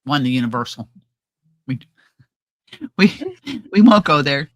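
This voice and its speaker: A woman co-hosting a casual crafting livestream, chatty and animated, often trailing off and restarting. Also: extremely low pitch, measured pace, no echo. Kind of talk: casual chat. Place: home livestream.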